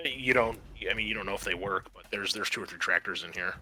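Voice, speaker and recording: A man running a tabletop roleplaying game as game master, explaining rules and rulings in a halting, improvised way. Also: lowish voice, sharp tone.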